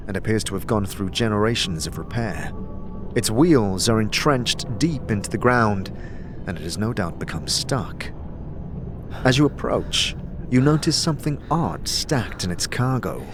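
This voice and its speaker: A man narrating a scripted fantasy audio drama in a measured, theatrical way, as a game master would. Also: on the low side, expressive, over music.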